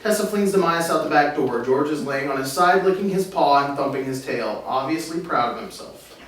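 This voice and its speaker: A man narrates stage directions from a script in a fairly high voice. He is distant from the microphone, in a very echoey room. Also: animated, moderate pace, fairly bright tone. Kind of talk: scripted narration at a table read. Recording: very echoey room, distant mic, faint hiss.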